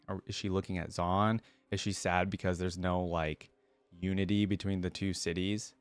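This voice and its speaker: A man with a fairly low voice hosts a reaction video in a very dry recording. He moves between reading story text aloud and casual, conversational commentary. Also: no room sound.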